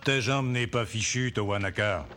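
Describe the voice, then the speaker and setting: A man playing a calm, confident young adventurer in a French cartoon dub, speaking reassuringly. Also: lowish voice, moderate pace, a little hiss or hum, lightly acted. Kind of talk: French animated film dub. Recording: faint music under the voice.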